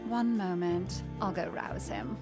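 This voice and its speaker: A woman's voice: fairly low pitch, lively intonation, unhurried. A woman voicing a bossy, theatrical young rich lady in a game, with an affected, haughty and effusive way of talking. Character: young rich woman, prancing, bossy, theatrical, fussy older-sister type. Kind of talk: visual novel game voice acting. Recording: music playing behind.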